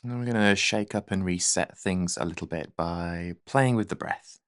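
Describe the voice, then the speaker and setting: A man leading a guided meditation in a gentle, soothing, unhurried manner. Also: low-pitched, no echo, studio quality.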